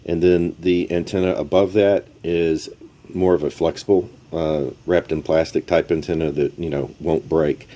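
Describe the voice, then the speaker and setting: Low-voiced man, casual gear walkthrough. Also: fairly even intonation, normal speed, dark tone. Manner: plain-spoken, informal, explanatory. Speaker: host of an off-road radio gear overview. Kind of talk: casual unscripted product walkthrough. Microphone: phone used for filming. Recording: noisy phone camera audio.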